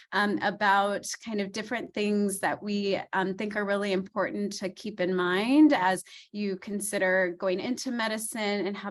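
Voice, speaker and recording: Woman doctor in her thirties hosting an online talk, mid-pitched, chatty, lots of ums. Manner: warm encouraging, frequent ums. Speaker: obstetrician hosting a panel. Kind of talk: casual online panel discussion.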